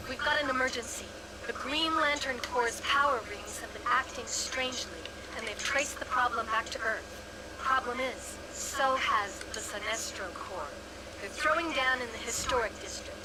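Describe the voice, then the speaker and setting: A woman's voice: a little high, normal speed, close to an everyday voice. A woman voicing an urgent, businesslike dispatcher who gives heroes a scripted mission briefing in a game. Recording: very noisy game audio capture.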